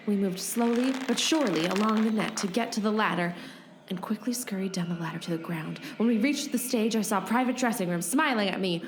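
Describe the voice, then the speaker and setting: A woman playing a determined, brisk heroine in a comic radio play, narrating and speaking in an earnest mock-epic way. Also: mid-range voice, slight background noise, natural voice. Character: determined heroine recounting her escape, bossy and brisk.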